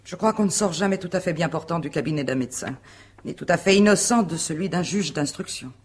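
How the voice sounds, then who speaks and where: An actress playing a proud, guarded wife under suspicion in a radio mystery drama, answering formally and with composure. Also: female voice, lowish voice, lively intonation, fast talker, breathy edge. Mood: defiant, composed. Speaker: actor in a radio mystery play.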